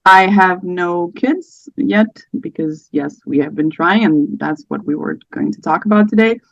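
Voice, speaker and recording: Casting: Portuguese-accented woman, late thirties, halting and gentle, telling her story; dry, dull lo-fi sound. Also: fairly low pitch, gravelly, no room sound. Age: 30s. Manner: soft-spoken, hesitant, many fillers. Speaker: podcast guest. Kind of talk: personal story in conversation.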